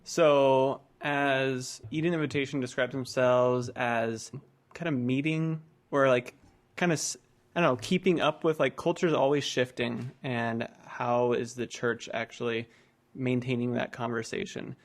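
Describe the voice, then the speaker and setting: A man hosting a podcast, asking questions in a casual, tentative, halting way. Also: mid-range voice, fairly even intonation. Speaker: podcast host. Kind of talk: casual interviewing.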